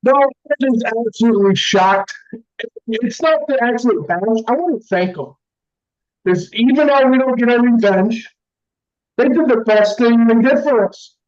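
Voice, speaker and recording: A man co-hosting a casual sports podcast, brash and excitable, swearing freely, heard over muffled remote audio with some echo. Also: very high voice, normal speed. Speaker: podcast co-host.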